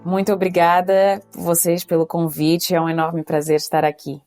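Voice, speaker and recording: A woman guest with a mixed Portuguese and Brazilian accent, speaking in a measured way with hesitant pauses. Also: fairly low pitch, little pitch movement, darkish tone, soft background music. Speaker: guest executive. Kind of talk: podcast interview.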